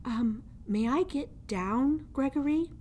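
Woman voicing a timid little mouse, pitched up high. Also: lively intonation, slow, a little mellow. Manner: hesitant, polite, pleading. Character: tiny timid earnest young male mouse. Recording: noticeable background noise.

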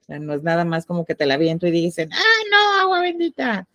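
A woman's voice: on the low side, huge pitch swings, fairly quick, fairly bright tone, no room sound. A young woman with a Mexican accent co-hosting a casual podcast, explaining ideas and posing questions to her partner. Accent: Mexican Spanish. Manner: inquisitive, explains and poses questions, laughs easily. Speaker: podcast co-host. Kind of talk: casual chat.

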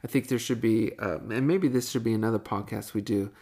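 A man's voice: lowish voice, a little fast, a little mellow. A man co-hosting a casual podcast, chatty and enthusiastic, often correcting himself mid-sentence. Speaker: podcast co-host.

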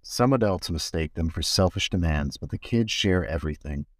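A man's voice: low-pitched, lively intonation, moderate pace, dry recording, studio quality. A man giving a literary reading of poems. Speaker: guest reader of poems.

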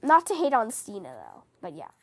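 A woman hosting a casual book-fan podcast, chatting in a rambling, animated, giggly way, her voice scratchy from a cold. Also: fairly high pitch, moderate pace, husky, dry recording. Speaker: podcast host.

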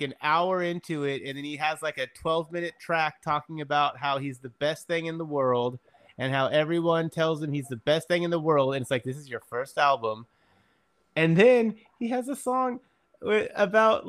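A man's voice: mid-range voice, a bit bright, clean tone. A man in his forties chatting casually as a podcast guest, blunt, opinionated and freely swearing. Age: 40s. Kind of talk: casual chat.

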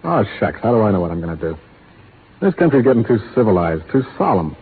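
Breezy defiant cowboy rustler, western american, talks quick, muffled noisy radio. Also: male voice, on the low side, sing-song, slightly rough, heavily acted. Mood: flippant. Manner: breezy, shrugging, folksy. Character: carefree, defiant young cowboy turned cattle rustler. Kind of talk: 1930s radio drama acting.

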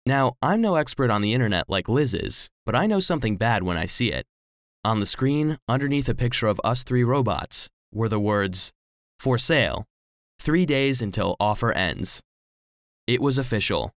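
Earnest little robot storyteller, dry studio sound. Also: male voice, mid-range voice, big pitch swings, moderate pace, no room sound. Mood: earnest, reflective. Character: small devoted male household cleaning robot, narrating. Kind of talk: scripted children's audio drama narration.

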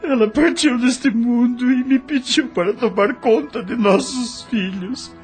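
An actor with a Brazilian accent playing a dejected, lovelorn widowed brother in an emotional radio soap opera. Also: male voice, very high voice, a little slow, slightly rough, full character voice, poor audio. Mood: sobbing grief. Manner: emotional, theatrical delivery. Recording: music under the voice.

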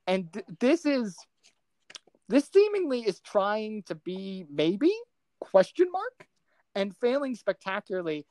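A woman's voice: mid-range voice, sing-song, slow, dark tone, no room sound. A woman co-hosting a casual film discussion podcast, talking in an exasperated, sarcastic way. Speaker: podcast co-host reviewing films.

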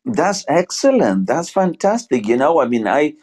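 A man from Argentina with an Argentine Spanish accent, speaking English as a guest on a video-call podcast. He talks politely and haltingly, with many fillers and restarts. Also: on the high side, big pitch swings, a little mellow, husky. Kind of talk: casual conversation.

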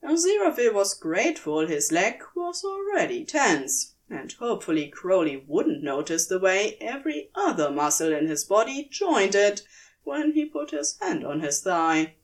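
A woman narrates scripted fiction in a medium-pitched voice. Her reading is slow and measured, yet the melody is lively and varied as she tells the story.

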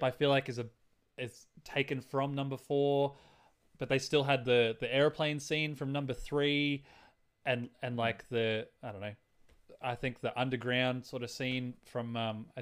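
A man co-hosting a podcast, chatting casually and excitedly like an enthusiastic fan about films and games. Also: medium pitch, smooth. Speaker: podcast co-host.

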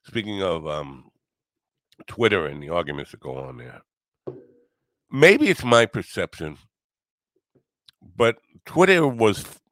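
Deep-voiced man in his sixties, rambling. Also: sing-song, a bit bright, dry recording, low-quality recording. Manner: halting, meandering, self-deprecating, profane. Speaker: podcast host. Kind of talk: casual rambling solo monologue.

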